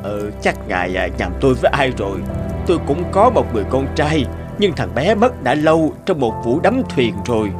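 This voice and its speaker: A man playing a sorrowful, loving old merchant father in an animated fairy tale. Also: medium pitch, normal speed, lightly acted. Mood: sad, confused. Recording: music behind the voice.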